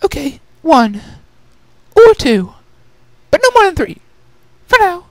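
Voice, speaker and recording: A man's voice: extremely high pitch, sing-song, slow pace, no room sound, pitched above the performer's own voice, exaggerated voice, rough recording. A man voicing a dramatic, fussy young female pony with a theatrical, self-scolding manner in a story reading. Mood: eager, resolute.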